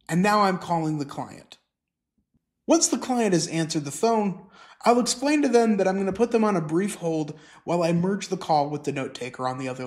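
Man narrating a software tutorial. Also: high-pitched. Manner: clear step-by-step explaining. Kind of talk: scripted instructional narration.

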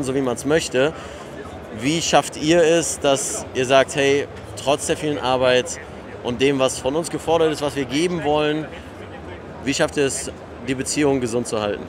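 A young man interviews casually, with an enthusiastic and slangy way of talking. His voice is of average pitch. A clearly audible crowd fills the large hall behind him. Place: large indoor fitness expo hall. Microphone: handheld recorder. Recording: crowd noise in trade fair hall.

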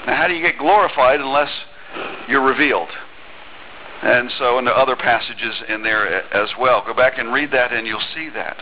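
A man's voice: medium pitch, moderate pace, lo-fi sound, a little hiss or hum. A middle-aged man preaching a sermon in a church on a headset mic, talking conversationally with small restarts and reading scripture aloud. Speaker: pastor. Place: church sanctuary.

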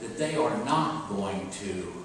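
A man's voice: lowish voice, lively intonation. Older male preacher in a very echoey church. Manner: halting and emphatic, with pauses and rhetorical questions. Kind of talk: sermon, homily delivered conversationally. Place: large church interior, at a pulpit. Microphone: far camera microphone. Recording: distant, very echoey church, clear background noise.

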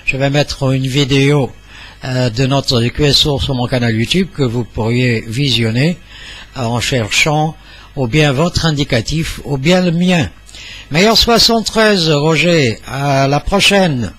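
An older man speaking in a formal, polite and halting way as he makes an amateur radio contact. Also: mid-range voice, fairly bright tone. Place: small indoor home radio room. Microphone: studio mic on boom arm, headset.

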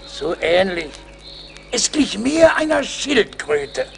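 High, creaky, pious psalm teacher. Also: male voice, sing-song, slow, a bit bright, a little hiss or hum, heavily acted. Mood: self-important. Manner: florid, unctuous, preacherly. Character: pious, pompous psalm-singing teacher, eccentric and harmless. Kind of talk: German radio play acting.

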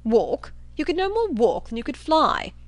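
A woman voicing a loud, brash, boastful young man with a blustering, impatient delivery in a storybook reading. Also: high-pitched, sing-song, normal speed, no echo, pitched above the performer's own voice. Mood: emphatic. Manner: blustering, emphatic exclamations.

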